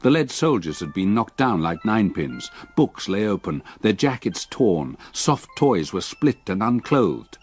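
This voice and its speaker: An actor playing a haunted English gentleman who narrates his ordeal in a grave, measured, literary style for radio drama. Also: on the low side, sing-song, faint music behind. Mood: grave, ominous.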